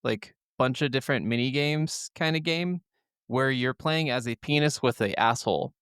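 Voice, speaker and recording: A man's voice: mid-range voice, normal speed, a bit bright, clear, resonant tone, no echo, studio quality. A man co-hosting a podcast in casual chat, talking in a crude, jokey, profane way. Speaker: podcast co-host.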